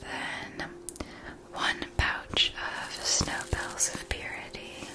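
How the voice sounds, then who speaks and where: A woman whispering softly and breathily in a scripted ASMR roleplay as a gentle magic shopkeeper, enunciating each phrase with care. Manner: slow, deliberate, careful enunciation.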